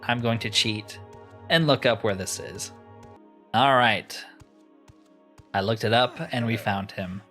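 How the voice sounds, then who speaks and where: A man's voice: on the low side, slow, long pauses, a bit bright, faint music behind. Casual man narrating his gameplay. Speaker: gaming video host. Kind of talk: casual game playthrough commentary.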